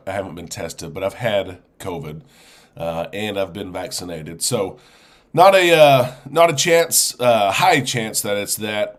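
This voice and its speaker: A man hosting a live show, chatting casually and greeting viewers one by one, congested and sniffly from a chest cold. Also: lowish voice. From United States. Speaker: host.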